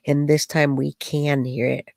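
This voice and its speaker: A woman's voice: sits low, flat delivery, a little slow, vocal fry, darkish tone, no echo. A woman talks to her live chat about a clip, probing and skeptical, full of rhetorical questions. Her voice is slightly off from dust and allergies. The sound is dry and close, with no room around it. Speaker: true-crime streamer. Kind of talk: live-stream commentary to chat.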